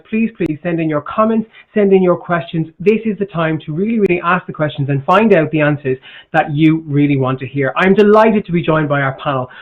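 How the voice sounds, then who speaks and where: A high-pitched man hosts a panel over a video call, eager and repetitive in his phrasing. The audio is a little dull, as though through earbuds. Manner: enthusiastic, repeats words. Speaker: host. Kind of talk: virtual conference panel hosting. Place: home room.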